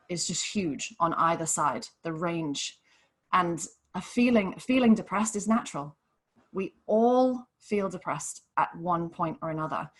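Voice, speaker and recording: Woman from Hong Kong, gentle earnest coach, lowish voice, live panel guest. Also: slightly rough. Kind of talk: live online panel talk.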